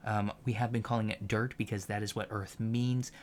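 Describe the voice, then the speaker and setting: A man playing a gentle, earnest alien professor in a soft-spoken ASMR lecture roleplay, with a halting, thoughtful delivery. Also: fairly low pitch, level tone, a little hiss or hum, lightly acted. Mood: gently fascinated. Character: gentle, earnest male alien college professor teaching about humans.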